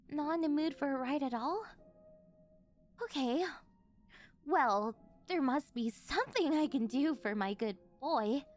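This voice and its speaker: A woman playing a rich, doting and obsessive older yandere girlfriend in an ASMR roleplay, cooing and over-eager as she talks to the listener. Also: sits high. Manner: gushing, cooing, over-eager, flustered denials.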